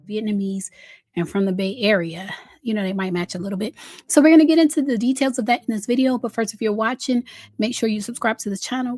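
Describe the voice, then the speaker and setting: A woman hosting a casual celebrity gossip commentary, chatty and candid, with drawn-out emphasis and a slightly raspy voice worn from a recent illness. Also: fairly high pitch, dry recording. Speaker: host of a celebrity gossip channel.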